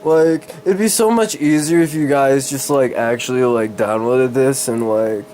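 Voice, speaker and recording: A man with a cold doing a dramatic story reading, halting and easily distracted, with casual swearing. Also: mid-range voice, a little mellow, slight background noise. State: sick with a cold.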